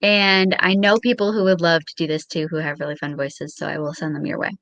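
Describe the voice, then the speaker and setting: A woman's voice: sits low, little pitch movement, fairly quick, fairly bright tone. A young woman chatting casually as an interview guest, with a modest, somewhat hesitant way of talking. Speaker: guest on a show.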